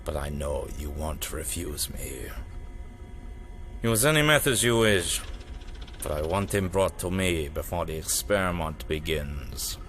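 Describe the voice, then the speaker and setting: A man acts out a ruthless dictator for an audio drama, his sharp voice sweeping widely between quiet menace and command. He sounds controlling and dangerous, with noise behind him. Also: rumbling low, lively intonation, unhurried, exaggerated voice.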